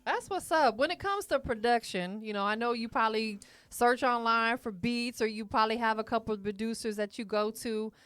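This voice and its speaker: A woman's voice: on the high side, a little fast, hardly any pauses, a bit bright, clear, resonant tone, no room sound. A woman hosting a podcast interview in a studio, chatty and affirming, full of slang. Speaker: podcast host. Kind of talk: casual interview. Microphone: studio mic.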